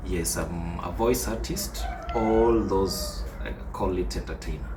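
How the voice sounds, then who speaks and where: Kenyan man, low voice, off-mic. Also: a little slow. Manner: relaxed storytelling, mixing in Swahili, laughing often. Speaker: guest entertainer. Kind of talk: casual interview chat. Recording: distant mic with clear background noise.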